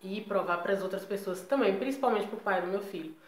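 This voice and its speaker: A woman's voice: mid-range voice, brisk. Chatty Brazilian woman, roomy echo. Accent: Brazilian Portuguese. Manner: chatty, emphatic, frequent tag questions. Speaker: vlogger. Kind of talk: casual personal vlog to viewers.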